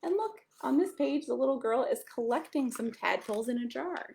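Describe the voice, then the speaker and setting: A woman's voice: sits high, expressive, brisk, gravelly, a little mellow. An American woman reading a picture book to young children, adding chatty asides and simple questions for her listeners. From United States (Maryland). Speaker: pastor reading a children's story. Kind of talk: children's storytime read-aloud with chatty asides.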